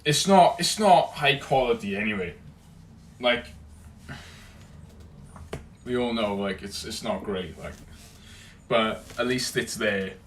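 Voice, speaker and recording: A young Welsh man hosting a casual livestream, greeting viewers by name and chatting loosely, loud when he greets people and low and mumbled when he muses. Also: expressive, slow, long pauses, slight background noise. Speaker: livestream host. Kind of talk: casual livestream chat reading comments.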